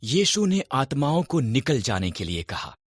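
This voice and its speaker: A man narrating scripted Bible stories in a measured, expressive storytelling manner. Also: mid-range voice, breathy edge, dry recording, clean studio sound.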